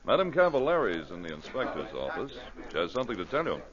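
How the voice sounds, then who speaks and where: A man's voice: on the low side, wildly swooping pitch, fast, crowd noise. A man with a North American accent playing a firm, reassuring Mountie sergeant in an old radio drama. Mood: reassuring, warm. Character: steadfast, kindly Mountie sergeant with a loyal dog. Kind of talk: 1940s-50s radio drama acting. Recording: noisy, muffled old radio broadcast.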